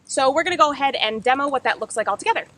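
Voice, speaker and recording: A woman giving upbeat, encouraging instruction as a roller derby coach and read-aloud host, projecting clearly. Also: high-pitched, animated, rapid-fire, sharp tone, a little hiss or hum.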